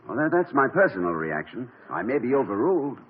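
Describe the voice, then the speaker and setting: An actor playing an erudite, dignified college president who speaks formally and with dry wit in a 1950s radio drama. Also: male voice, mid-range voice, very animated, breathy edge. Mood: dry, wry. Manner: formal, measured, dryly witty. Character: erudite, dignified, wry college president, middle-aged man. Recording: dull lo-fi old radio broadcast.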